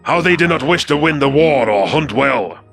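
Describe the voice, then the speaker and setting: A man voicing an earnest male alien predator warrior in a sci-fi audio story, gravelly, intense and halting. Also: on the high side, expressive, a bit bright, soft background music, pitched above the performer's own voice. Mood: eager.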